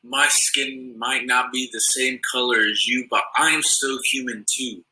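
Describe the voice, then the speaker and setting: Bright-voiced teenage boy reciting poetry. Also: mid-range voice, steady tone, a little slow. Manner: earnest, declamatory recitation. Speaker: student poet. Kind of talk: spoken word poetry. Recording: echoey room.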